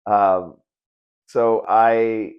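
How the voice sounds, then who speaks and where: A man's voice: fairly low pitch, fairly even intonation, drawn-out words, clean tone, lo-fi sound. A man in his early fifties sharing personal reflections as the creator and narrator of a video. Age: early 50s. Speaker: video creator and participant, sociology teacher.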